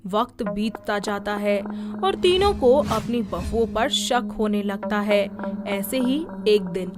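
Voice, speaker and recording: A woman's voice: medium pitch, animated, slow. A woman narrating a scripted moral family story. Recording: music behind the voice. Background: background music.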